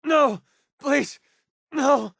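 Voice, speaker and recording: Young hired gun, game companion, cocky, wisecracking, high male register, very dry studio sound. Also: drawn-out words, vocal fry, a bit bright, no room sound, raised voice, full character voice. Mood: battle shouting. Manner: sarcastic, brash, clipped one-liners. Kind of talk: video game companion voice lines.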